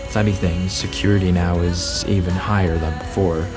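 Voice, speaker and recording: Low, businesslike private investigator played by a man. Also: fairly even intonation, natural voice. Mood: grave. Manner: deliberate, dramatic reveals. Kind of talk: amateur voiced roleplay acting. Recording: music behind the voice.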